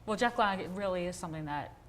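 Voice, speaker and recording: Fast-talking woman host, low register, faint background noise, brisk questions and quick interjections. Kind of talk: lifestyle interview. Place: outdoor event venue.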